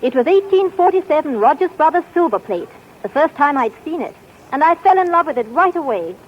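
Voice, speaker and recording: A high, lively woman's voice, genteel and persuasive, reading an old-time radio commercial. Her pitch moves a lot. It sounds dull and faintly hissy, like a 1940s broadcast. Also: fairly quick. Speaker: commercial spokeswoman.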